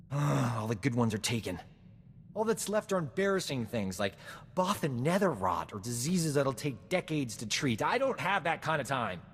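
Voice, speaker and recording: Cocky doctor in a cavernous space. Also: male voice, medium pitch, sing-song, normal speed. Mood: scheming. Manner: cocky, self-admiring, smooth-talking. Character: vain, flirtatious male doctor, boastful charming rogue. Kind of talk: video game cutscene acting.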